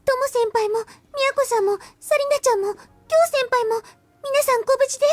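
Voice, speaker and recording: A woman's voice: very high-pitched, racing. A woman playing a sweet, devoted younger schoolgirl in an anime-style visual novel, polite and fretful with concern. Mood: fretful, caring.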